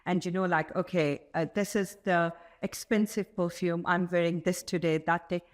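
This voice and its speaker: Lower-voiced woman hosting a podcast. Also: fairly even intonation, moderate pace. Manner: short questions and frequent affirmations. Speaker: podcast host. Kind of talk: interviewing a guest.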